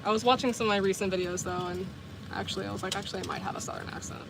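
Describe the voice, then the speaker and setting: A woman's voice: mid-range voice, fairly even intonation, racing, heavy background noise. A woman in her twenties from the US South, chatting casually with livestream viewers, rambling with lots of "like" and self-corrections. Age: 20s. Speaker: vlogger and livestreamer.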